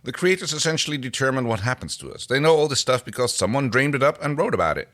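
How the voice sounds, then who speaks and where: Man playing a human astronaut, wry, patient, drawling explanations, lively intonation. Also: mid-range voice, fast, lightly acted. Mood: explaining.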